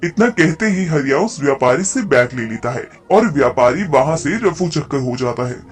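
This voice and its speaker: A man's voice: medium pitch, expressive, moderate pace, faint music behind. A man narrating a scripted folk story as the narrator.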